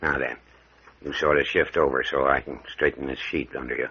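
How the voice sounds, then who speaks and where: Folksy rural american doc, deep drawl, calm and in charge, muffled old radio drama. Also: male voice, breathy edge, exaggerated voice. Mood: soothing. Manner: drawling, soothing, unhurried authority. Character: folksy, calming, fatherly country doctor. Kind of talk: 1940s American radio acting. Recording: muffled vintage radio recording.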